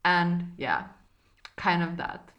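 A woman's voice: fairly low pitch, very animated, slow, lo-fi sound. A young woman from Poland in her twenties with a Polish accent, co-hosting a podcast in casual, giggly, self-mocking chat with some swearing. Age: 20s. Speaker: podcast co-host.

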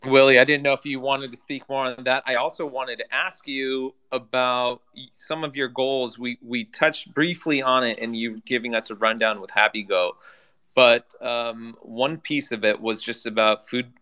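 A man's voice: medium pitch, fairly even intonation, normal speed, pushed and bright, clean tone. A man moderating a panel, casually putting questions to the speakers.

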